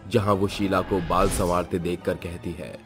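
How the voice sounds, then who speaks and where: Low-voiced man narrating a horror story. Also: little pitch movement, moderate pace, over music.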